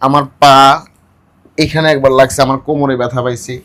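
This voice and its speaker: A middle-aged man talks in a casual, unscripted way. His voice sits at an ordinary male pitch. He is emphatic, often repeating phrases to drive them home. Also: little pitch movement, fairly quick, a bit bright. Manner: emphatic, repeats himself for stress. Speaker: music video model and performer. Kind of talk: casual behind-the-scenes chat.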